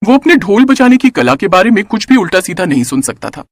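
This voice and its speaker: A man narrates a children's story in a high voice with very lively, swooping intonation. The delivery is scripted and expressive, and the recording is close and dry. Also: a little fast, no room sound.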